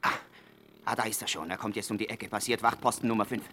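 An actor in a 1960s German radio play, playing a grumbling gangster underling with a raspy voice who reports to his boss. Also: on the low side, fairly even intonation, quick pace, pushed and bright, a little hiss or hum. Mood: tense, watchful. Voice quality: raspy, gravelly.

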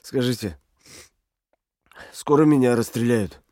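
An actor playing a broken but inwardly defiant political prisoner in an audio drama, halting and brooding in his delivery. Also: mid-range voice, warm tone, dry recording, clean studio sound, close to an everyday voice. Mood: subdued.

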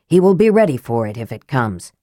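A woman voicing a cold, sardonic young male mage who speaks dryly, flatly and curtly in a lowered voice. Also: fairly quick, dry recording. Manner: dry, flat and clipped.

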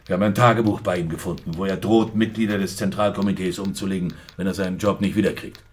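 Guy playing a dry soviet police officer giving a briefing, radio drama, fairly low voice. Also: steady tone, fast talker, close to an everyday voice. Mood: matter-of-fact, gossipy. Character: matter-of-fact, dry male Soviet secret police colleague. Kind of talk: radio crime drama dialogue.

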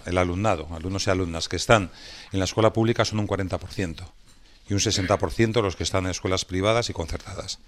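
A man with a fairly low voice. He speaks as a panelist at a public debate, laying out his case in an explanatory, activist way. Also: a little hiss or hum.

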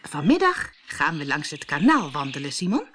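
Woman putting on a brisk old storybook grandmother. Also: sits low, wildly swooping pitch, background noise. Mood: matter-of-fact. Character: unafraid, matter-of-fact grandmother in a storybook.

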